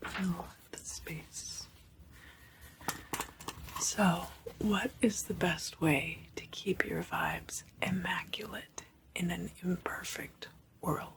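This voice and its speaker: A woman hosting ASMR, whispering a guided meditation in a slow, hushed manner and softly repeating her phrases. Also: on the low side, fairly even intonation, gravelly. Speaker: ASMR video host.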